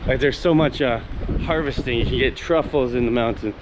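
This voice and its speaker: A man's voice: mid-range voice, fairly quick, noisy background. Rambling Canadian-raised man on a walk. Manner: rambling and enthusiastic, many fillers and restarts. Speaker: vlogger. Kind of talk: casual walk-and-talk vlog. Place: outdoors on a coastal town street.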